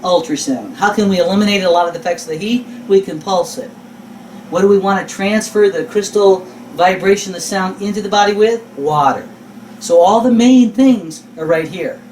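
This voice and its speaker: Male lecturer, higher-pitched voice, folksy and emphatic, quizzing as he teaches. Roomy, distant-mic capture. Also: normal speed, darkish tone. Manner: folksy, informal, emphatic repetition of key words. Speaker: instructor. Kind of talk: conversational teaching lecture with rhetorical questions. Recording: roomy, distant mic, faint background noise.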